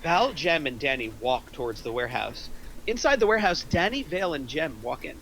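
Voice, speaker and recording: A man's voice: fairly high pitch, sing-song, brisk, a bit bright, a little hiss or hum, low-quality recording. A man reading stage directions as the narrator of a screenplay table read.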